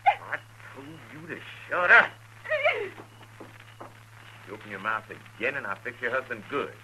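Menacing, volatile outlaw. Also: male voice, mid-range voice, sing-song, unhurried. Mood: threatening. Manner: curt, threatening. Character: menacing, bullying outlaw killer on the run. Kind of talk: 1950s radio western acting. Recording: noisy vintage 1950s radio recording.

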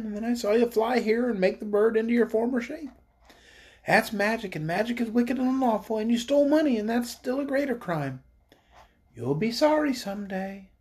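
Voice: medium pitch, expressive, brisk, darkish tone, breathy edge, pitched above the performer's own voice. A reader doing a small scolding sparrow. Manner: reproachful, lecturing.

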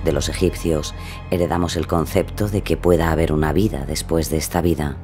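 An actor as a solemn, wry narrator delivering dramatic scripted narration for an audio drama. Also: male voice, a bass voice, a little mellow.

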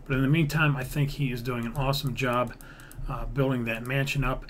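A man with an average-pitched voice narrates his own game build in a casual, rambling way, thinking aloud and half-muttering as he goes. He is recorded indoors at a computer, with clear background noise and typing. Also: steady tone, a little fast. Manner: rambling, half-muttering counts, mild swearing. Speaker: gaming video creator narrating his own build series.

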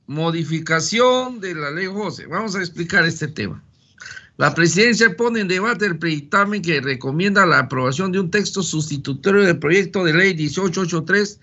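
A man chairing a legislative committee, reading a formal text in a measured, formal manner. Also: a little high, gravelly, bright, forceful tone, slightly rough, muffled recording.

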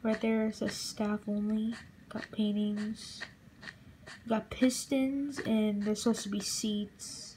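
A young woman casually narrating a walkthrough of a game build she made, halting and relaxed, with fillers. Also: medium pitch, slight background noise, poor audio. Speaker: channel host.

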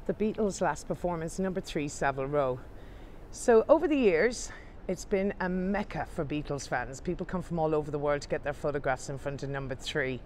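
A woman's voice: sits low, fairly quick, darkish tone, a little hiss or hum. A woman leads a walking tour outdoors, talking chattily and upbeat to viewers she calls ladies and gents. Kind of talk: walking tour narration to camera. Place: outdoors on city streets.

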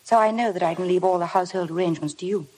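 An actress playing a timid, eager young bride in a 1950s radio drama, speaking earnestly and politely. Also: female voice, lowish voice, racing, warm tone, lightly acted, rough recording. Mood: nervous, polite.

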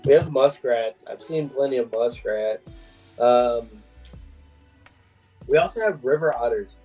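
Man, guest on a video call, home room, casual chat, warm voice. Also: medium pitch, unhurried, faint music behind, low-quality recording. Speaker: guest co-host.

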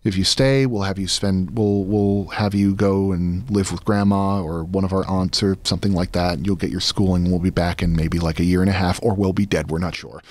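An American man in his thirties, a podcast guest telling personal stories in a halting but emphatic way. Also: low-pitched, fairly even intonation, a little mellow. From Florida, United States. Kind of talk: conversational personal storytelling. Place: small podcast studio, indoors. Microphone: close boom studio mic with headphones.

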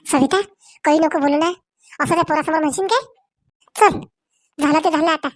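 A woman's voice: high voice, normal speed, dry recording, studio quality, natural voice. A woman voicing chatty, meddling village women in a Marathi comedy cartoon, with a rural Varhadi accent and colloquial, exclamatory talk. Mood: insistent gossip. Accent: rural Maharashtra, Varhadi Marathi.

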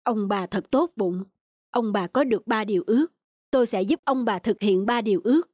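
A man voicing a kindly, solemn magical wanderer in a cartoon fairy tale, speaking in a formal, measured way over music. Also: high-pitched, lightly acted. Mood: solemn, benevolent.

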